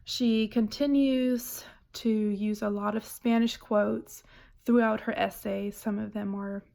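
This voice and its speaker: Slow, flat woman, gravelly. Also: medium pitch. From Germany. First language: German. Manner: hesitant, frequent "um" pauses. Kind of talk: spoken reading response.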